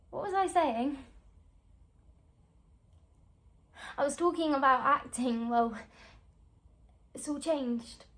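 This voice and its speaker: Halting, weary young actress, slow and fragile, acted by a woman. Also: high voice. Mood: haunted, distracted, then fragile elation. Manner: halting, broken phrases with long pauses. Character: weary, fragile young actress, wounded yet striving for faith. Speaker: actress performing a monologue. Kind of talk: naturalistic screen-acting stage monologue.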